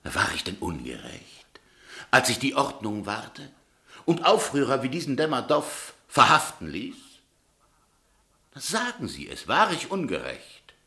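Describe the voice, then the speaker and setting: An actor playing a proud, unbending middle-aged Tsarist governor in a German radio drama, speaking in a formal, emphatic way. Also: male voice, mid-range voice, huge pitch swings, unhurried, gravelly, sharp tone, echoey room, heavily acted. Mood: defensive, probing.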